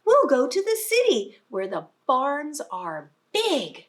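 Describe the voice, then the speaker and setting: A squealing farm pig from a picture book, voiced by a woman reading aloud, pitched far above an ordinary voice. It speaks loudly, with an excited, squeaky edge. The delivery is slow and drawn out, as if read to small children. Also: exaggerated voice. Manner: high squeals, loud and excited.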